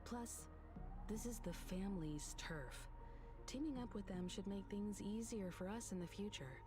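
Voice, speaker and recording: A woman's voice: mid-range voice, unhurried, natural voice. A woman voicing a composed, tactful leader in an anime game, poised and articulate. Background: background music.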